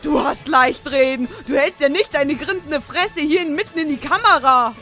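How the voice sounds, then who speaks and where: A woman's voice: high-pitched, normal speed, bright, forceful tone. A woman voicing a young, sassy, whiny pony princess with a theatrical, teasing manner in a fan audio drama. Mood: shrieking, indignant. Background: background music.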